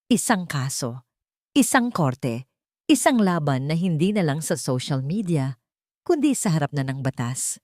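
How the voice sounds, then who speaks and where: Low-pitched Filipino woman narrating a story. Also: big pitch swings, unhurried, a little mellow, dry recording, studio quality. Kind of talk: scripted dramatic story narration.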